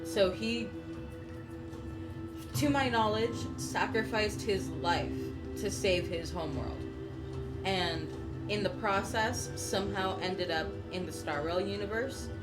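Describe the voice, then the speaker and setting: A woman's voice: medium pitch, takes it slowly. A woman on a live game stream, explaining things casually and carefully with some hedging. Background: loud game music.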